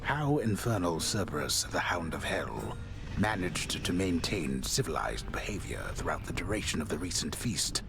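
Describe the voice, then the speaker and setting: An actor playing a solemn elderly storyteller narrating a Greek myth game in a measured, grandiose, dryly ironic way. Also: male voice, low-pitched, very animated, creaky, background noise, exaggerated voice.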